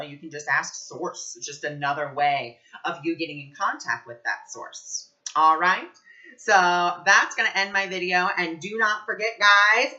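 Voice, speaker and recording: A man chats as a psychic reader in a casual vlog, gushing and enthusiastic as he explains things. His voice is very bright and sharp. The recording sounds a little dull and lo-fi. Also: fairly high pitch. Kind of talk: casual spiritual vlog talk.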